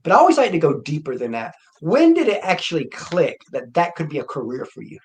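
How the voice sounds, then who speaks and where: Fairly high-voiced male interview host. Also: huge pitch swings, fairly quick, slight background noise. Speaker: show host. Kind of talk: casual interview talk.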